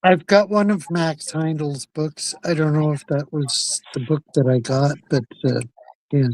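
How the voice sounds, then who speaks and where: Slow, halting man with a higher voice. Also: steady tone, a little mellow, rough recording. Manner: halting, repeats words. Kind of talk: casual chat.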